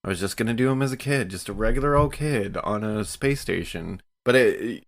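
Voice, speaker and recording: A man's voice: fairly low pitch, brisk, gravelly. A man chatting casually as a cast member of a gaming podcast. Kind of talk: casual chat.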